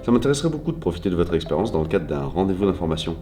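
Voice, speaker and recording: An actor playing a polite, eager job seeker making a courteous and persistent phone call. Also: male voice, low voice, very animated, very fast, dark tone, faint music behind. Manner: courteous, clearly phrased, persistent. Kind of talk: acted phone-call sketch.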